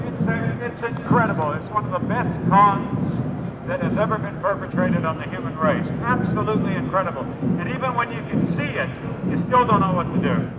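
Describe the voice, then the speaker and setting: A man's voice: sits high, muffled recording. A man speaking off the cuff to the street through a megaphone, mock-earnest and repeating phrases for emphasis. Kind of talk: improvised public speaking in conversation. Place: outdoors on a central London street. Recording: distant, echoey, very noisy city street. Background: loud traffic and urban ambience.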